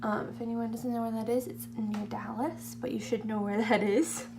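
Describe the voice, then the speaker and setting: A 21-year-old woman with a slightly hoarse voice, chatting casually through a makeup tutorial in a rambling, self-deprecating way. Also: on the high side, flat delivery, moderate pace. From California, United States. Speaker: beauty vlogger. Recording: amateur home video, clear humming background noise.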